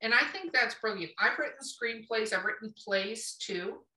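Lady podcast host chatting casually, bright sharp voice, roomy and a bit far from the mic. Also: fairly high pitch, moderate pace. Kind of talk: casual, unfiltered interview chat. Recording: echoey room, distant from mic.

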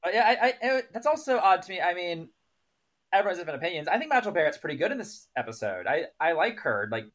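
A man's voice: sits high, sing-song, quick pace, fairly bright tone. A man co-hosting a podcast, chatting casually and analytically about a TV show. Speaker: podcast co-host.

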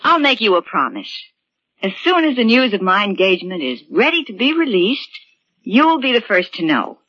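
An actress playing a gentle, anxious sweetheart, soft-hearted and hesitant, in 1940s radio drama style. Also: female voice, medium pitch, sing-song, normal speed, no echo, dull audio. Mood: guarded. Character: gentle, anxious sweetheart woman caught between twin brothers. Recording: vintage 1940s radio, band-limited.

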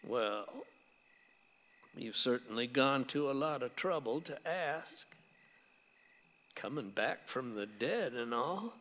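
Folksy old grandpa in a reverberant hall. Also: male voice, mid-range voice, expressive, takes it slowly, long pauses, a bit bright. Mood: grave reluctance. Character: folksy, stubborn but tender old grandfather. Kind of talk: radio play acting.

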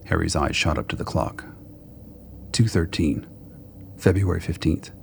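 Low creaky guy narrating a story for an audio drama, bit of background hiss. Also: animated, a little slow.

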